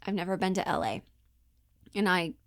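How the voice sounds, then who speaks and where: A woman's voice: lowish voice, lively intonation, normal speed, fairly bright tone, no room sound, studio quality. A woman giving casual, explanatory commentary as an acting coach, candid and informal in how she talks. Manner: candid, informal, mildly sweary. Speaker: acting coach and monologue performer.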